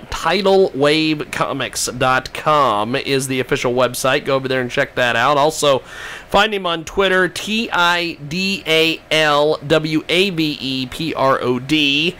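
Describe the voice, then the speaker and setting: Male interview host for live radio, slightly high pitch, crisp sharp tone. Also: measured pace.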